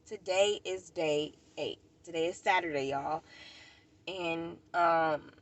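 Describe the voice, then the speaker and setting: A woman's voice: deep voice, takes it slowly. An American woman vlogger with a stuffy nose, chatting casually and informally to the camera, rambling and repeating herself. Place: outdoors at a park.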